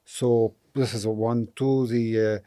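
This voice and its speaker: A middle-aged man from Lebanon, a property investor, answering interview questions thoughtfully with many pauses and fillers. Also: mid-range voice, steady tone, mellow. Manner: thoughtful, hesitant with frequent fillers.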